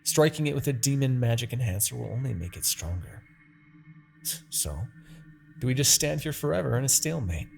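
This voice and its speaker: A man's voice: medium pitch, animated, a little fast, creaky, faint music behind. A man playing an imperious, obsessive demon king who is easily exasperated, in a theatrical, brooding fantasy audio roleplay. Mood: wary, reasoning. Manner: theatrical, brooding, swinging between murmurs and outbursts.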